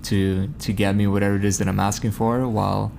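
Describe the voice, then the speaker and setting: A man from Kazakhstan whose first language is Russian, a guest on a podcast, speaking in a relaxed, reflective way. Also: lowish voice, fairly quick, gravelly, a little hiss or hum. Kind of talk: casual podcast chat.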